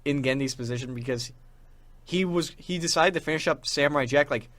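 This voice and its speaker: A man's voice: fairly high pitch, brisk, a bit bright, no room sound. A young man co-hosting a podcast in casual chat, rambling with a fan's enthusiasm. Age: young adult. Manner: enthusiastic fan talk, rambling. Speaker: podcast co-host.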